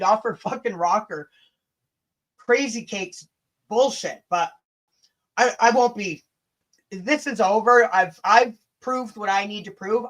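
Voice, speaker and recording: A woman hosting a casual livestream rant, blunt, combative and sweary, with chatty asides to her viewers. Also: medium pitch. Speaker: livestream host.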